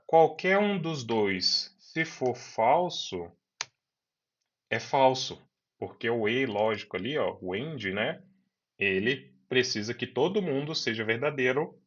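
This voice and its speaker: A man's voice: mid-range voice, a bit bright. A man teaching a programming lesson, talking students through each step and repeating key words. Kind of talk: screen-recorded coding lesson. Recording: dull lo-fi voice recording.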